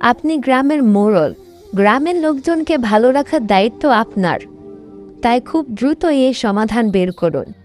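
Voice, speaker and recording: Dutiful village wife for a cartoon, played by a woman; highish, lively, earnest delivery. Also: moderate pace, faint music behind, close to an everyday voice. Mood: urging. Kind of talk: cartoon voice acting.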